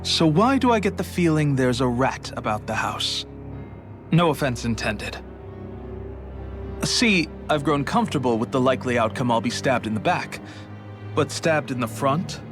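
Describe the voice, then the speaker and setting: An actor playing a wary rebel leader in a game, speaking in a dry, measured way with soft music underneath. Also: male voice, mid-range voice, animated, lightly acted. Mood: guarded. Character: wary male rebel leader, middle-aged. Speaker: resistance leader. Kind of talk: video game voice acting.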